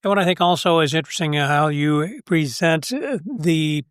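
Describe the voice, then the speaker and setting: A man's voice: on the high side, moderate pace, a bit bright, clean tone, no echo, clean studio sound. A man hosting a podcast interview, asking leading questions in a conversational way and often murmuring agreement. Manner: conversational, asks leading questions, frequent backchannel. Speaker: podcast host. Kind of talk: casual interview chat.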